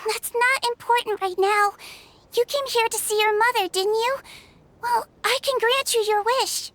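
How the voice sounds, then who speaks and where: A woman voicing a calm, kind female head nurse who speaks softly and in measured sentences. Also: extremely high pitch. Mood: reassuring. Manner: soft, soothing, measured.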